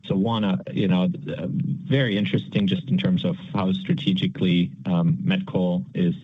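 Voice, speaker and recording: A man's voice: sits low, flat delivery, moderate pace, slightly rough, phone-quality sound. A man posing a courteous analyst question on an earnings call, very hesitantly, repeating small words as he goes. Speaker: financial analyst asking questions.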